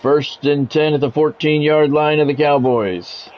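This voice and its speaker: Higher-pitched man calling football play-by-play. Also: fairly even intonation, a little slow, muffled recording, faint music behind. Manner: practised radio-style play-by-play, clipped phrases. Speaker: streamer doing play-by-play of a football video game. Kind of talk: sports commentary mixed with casual chat.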